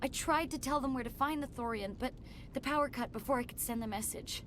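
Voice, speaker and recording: A young female scientist for a sci-fi game. The voice is high, earnest and troubled by guilt. A faint rumble lies in the background. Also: a little fast, a bit bright. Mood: uneasy, remorseful. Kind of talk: video game voice acting.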